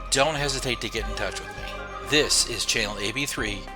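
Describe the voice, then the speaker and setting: Man, lowish voice, scripted announcer read, prominent music behind. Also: a little fast. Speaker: podcast announcer. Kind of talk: scripted podcast credits read. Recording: music bed under the voice.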